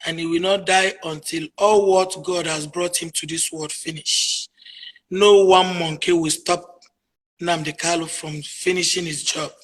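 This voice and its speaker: A husky-voiced Nigerian woman, Igbo by first language, speaks in a fairly flat melody over a phone line. Her delivery is emphatic, sliding from low murmurs to loud, insistent declarations. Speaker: interviewee, activist. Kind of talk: emotional call-in interview.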